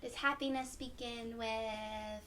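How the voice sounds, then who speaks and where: Slow, high-voiced woman reading to children. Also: steady tone, a little hiss or hum. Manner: gentle, encouraging, addresses children directly with questions. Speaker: children's read-aloud storyteller. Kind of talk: expressive picture-book read-aloud for young children.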